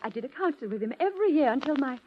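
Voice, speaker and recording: An actress playing a fragile, high-strung wife in a 1940s radio drama, theatrical and pleading. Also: female voice, animated, rapid-fire, a little hiss or hum. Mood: eager, brittle. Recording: muffled vintage 1940s radio recording.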